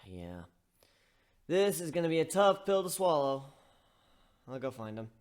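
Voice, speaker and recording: A man's voice: on the high side, very animated, measured pace, smooth. A man playing a guarded, self-reproaching young man who confesses his faults in a dramatic story reading. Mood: resigned. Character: remorseful, emotionally guarded young male protagonist of a story.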